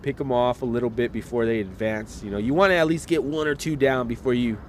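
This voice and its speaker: A man casting a live esports match, relaxed and chatty, talking straight to viewers while getting over a slight cold. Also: mid-range voice, fast talker, slight background noise. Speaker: esports caster.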